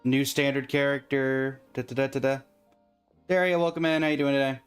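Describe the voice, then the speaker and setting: Very low-voiced woman streaming a game. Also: flat delivery, fairly quick, clean tone. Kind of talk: casual livestream chat. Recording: faint game music behind voice. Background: game music.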